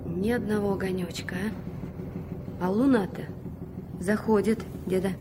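A woman's voice: mid-range voice, fairly even intonation, measured pace, natural voice. An actress in a Soviet radio drama playing a dreamy, playful young girl, a granddaughter full of wondering, coaxing questions. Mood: hushed wonder. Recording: noisy 1970s radio play recording.

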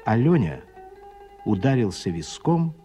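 Male radio drama narrator: lowish pitch, very varied intonation, measured literary storytelling. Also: very animated, warm tone, faint music behind.

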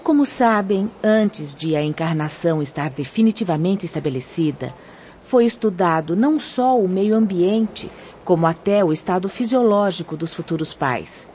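Actress as composed young female guide, formal teacher's manner, lowish pitch, dull recording. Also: animated, normal speed, dark tone, natural voice. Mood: composed, gracious. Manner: formal, didactic, gracious. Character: kindly young woman spirit guide, composed instructor. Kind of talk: radio drama acting. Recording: dull, faintly noisy audio.

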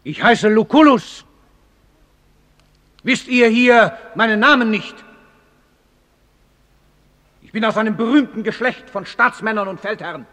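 A pompous roman general in a radio play, really high voice, big echoing hall. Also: male voice, expressive, a little slow, long pauses, pushed and bright, full character voice. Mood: haughty. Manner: declamatory, indignant, haughty. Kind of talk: theatrical radio drama acting. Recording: long cavernous hall reverb.

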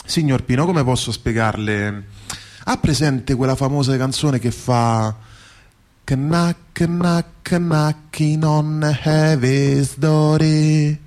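A man's voice: a little high, takes it slowly, a little mellow. An old, courteous gatekeeper of heaven acted out by a man in a radio play. He explains everything with patient, formal politeness. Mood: patient, explaining.

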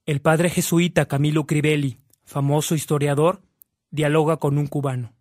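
An actor narrating a saint's life in a radio drama, as a sober storyteller reading from a script. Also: male voice, on the high side, flat delivery, normal speed, a little mellow, no room sound, close to an everyday voice. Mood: sober, storytelling.

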